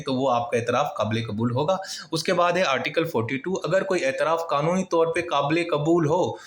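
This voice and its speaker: A man with a Pakistani accent giving an informal exam-prep lecture, explaining point by point in a conversational way with everyday examples. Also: medium pitch. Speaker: law lecturer. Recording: echoey, reverberant room sound.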